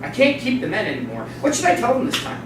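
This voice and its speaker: A woman's voice: fairly high pitch, sing-song, fast talker. A woman playing a weary, fed-up male right-hand man, terse and bitter, in a staged dramatic reading. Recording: echoey room, distant mic, noisy.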